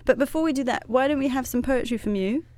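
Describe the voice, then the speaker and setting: A woman's voice: high voice, fast talker, no echo. Chatty woman radio host, dry studio sound. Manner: chatty, curious, lists words in a steady run. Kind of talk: casual radio chat.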